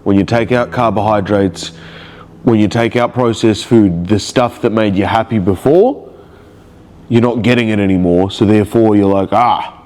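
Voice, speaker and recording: A man's voice: lowish voice, normal speed, darkish tone. A man with a cold, sounding nasal, talking emotionally and rambling in a confessional video diary. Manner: rambling, emotional, searching for words. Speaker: vlogger.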